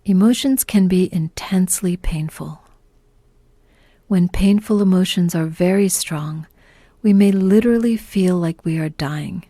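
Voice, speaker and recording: A woman leading a guided meditation in a soft, breathy, half-whispered voice, calm and gentle, with unhurried pauses. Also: fairly low pitch, no room sound. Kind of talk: guided meditation, scripted narration.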